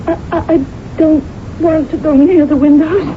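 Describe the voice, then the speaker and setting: A woman's voice: sits high, steady tone, normal speed, close to an everyday voice. An actress in a radio drama playing a hysterical, jealous trapped woman, stammering and repeating herself in panic. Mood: fearful, distracted. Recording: muffled old radio broadcast, noisy.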